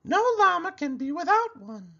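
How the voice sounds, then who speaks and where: A man voicing a helpful, teasing female llama from a children's book in a high, sing-song falsetto. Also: very animated, moderate pace, vocal fry, pushed and bright, muffled recording, heavily acted. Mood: matter-of-fact. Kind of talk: children's picture book read-aloud.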